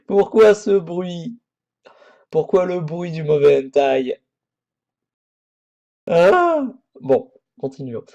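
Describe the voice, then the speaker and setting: A man's voice: a little high, sing-song, very slow, long pauses, dark tone, muffled recording. A man hosting a let's play, chatting casually over a horror visual novel with crude jokes and frequent restarts. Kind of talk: casual game commentary.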